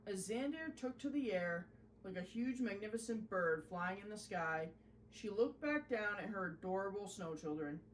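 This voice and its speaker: A woman narrating a children's storybook in an expressive, deliberate storytelling manner. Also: medium pitch, far from the mic, slight background noise, poor audio. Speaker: host reading a children's story.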